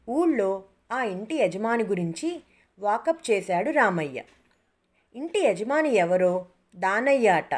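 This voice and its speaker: A woman storyteller reading a scripted moral tale as narrator. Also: a little high.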